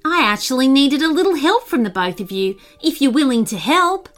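Friendly talking kangaroo, female played by a woman storyteller, proud and cheerful, lively high pitch, for a children's storybook. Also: fairly quick, soft background music.

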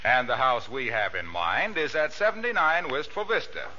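A man's voice: medium pitch, animated, moderate pace, pushed and bright. A man with an American accent announcing in polished, salesmanlike 1940s radio style. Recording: dull, noisy vintage radio recording.

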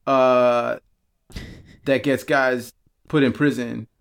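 Man, improv comedy, deadpan, dry and hesitant, medium pitch. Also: slow pace, creaky, smooth.

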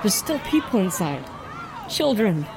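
A man's voice: high-pitched, sing-song, unhurried, exaggerated voice. A man playing a frightened young department store worker in an audio drama, flustered and stammering. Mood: panicked. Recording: loud crowd and street noise. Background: surging crowd on a city street.

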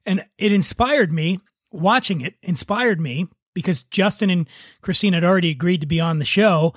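A man's voice: high voice, normal speed, no room sound. A man hosting a casual comedy podcast, chatting with a joking, teasing manner. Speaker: podcast host.

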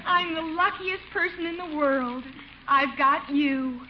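High-voiced gushing young bride. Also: female voice, takes it slowly. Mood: lovestruck. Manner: gushing, dreamy. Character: sweet, lovestruck young newlywed bride. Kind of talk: 1950s radio sitcom acting. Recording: noisy, muffled 1950 radio recording.

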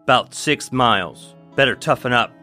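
Guy playing a nasty gruff scavenger, sharp tense voice, low music behind. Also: moderate pace, close to an everyday voice. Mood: bossy. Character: rough male woodland scavenger, threatening. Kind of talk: audio drama acting.

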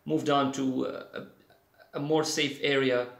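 A man, a guest in a casual interview, talking haltingly with many fillers and restarts. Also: mid-range voice, little pitch movement, creaky, roomy sound, crowd noise. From Syria. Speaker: guest, CG artist.

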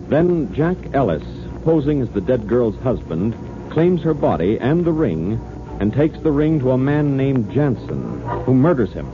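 Male 1940s radio announcer, mid-pitched, scripted narration, tinny recording, music behind.

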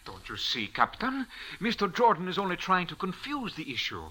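An actor playing an insinuating, formally polite aide to a count in a 1940s radio drama, oily and easily indignant. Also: male voice, sits high, huge pitch swings, normal speed, bright, forceful tone, exaggerated voice, poor audio.

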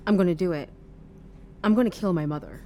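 An actress playing a naive, earnest and oddly frank young person in an audio drama, guileless and matter-of-fact. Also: female voice, on the low side, brisk, dark tone, a little hiss or hum, close to an everyday voice.

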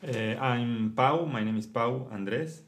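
A man's voice: medium pitch, slow pace. A man from Mexico, a banjo player, introducing himself easygoingly in a casual interview.

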